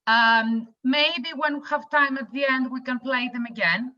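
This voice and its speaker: A woman with a British accent presenting to an online audience, with a loud, upbeat and direct voice over a video call. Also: sits high, unhurried, far from the mic, dull audio. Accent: British English. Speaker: webinar presenter.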